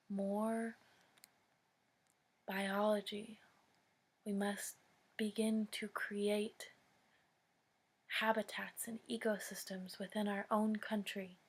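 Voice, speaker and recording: An American woman giving an earnest public address, speaking solemnly and deliberately in short phrases with long pauses. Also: medium pitch, little pitch movement, husky. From United States.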